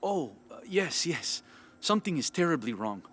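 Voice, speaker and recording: An actor playing a flustered, exasperated villager in a video game. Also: male voice, a little high, big pitch swings, normal speed, fairly bright tone, breathy edge, full character voice.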